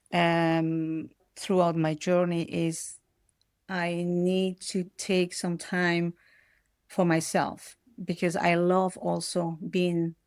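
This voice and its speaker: A woman's voice: lowish voice, smooth, dry recording, clean studio sound. A middle-aged woman from Peru, raised in the Netherlands, speaking as an as a podcast guest, reflective and often restarting her sentences. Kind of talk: reflective personal interview.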